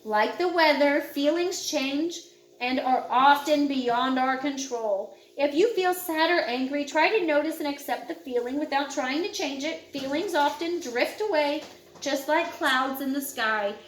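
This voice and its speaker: An earnest, thoughtful storybook schoolboy, read by a woman, explaining things carefully in a high voice. The room sounds echoey. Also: unhurried, slight background noise. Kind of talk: children's audiobook character reading.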